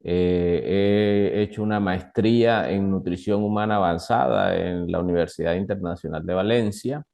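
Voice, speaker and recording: A young man with a Colombian Caribbean accent, explaining things as an expert guest in an interview, in a halting way with repeated words. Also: low voice, fairly even intonation, gravelly, a little mellow. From northern Colombia. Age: young adult. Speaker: guest doctor, nutrition expert. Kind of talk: interview, explaining to a lay audience.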